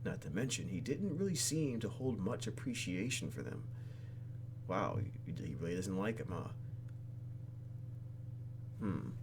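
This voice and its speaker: A man's voice: low voice, sing-song, unhurried, long pauses, noisy background. A man doing playful, exclamatory gameplay commentary as he reads a visual novel aloud, with faint music behind him. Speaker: let's play host.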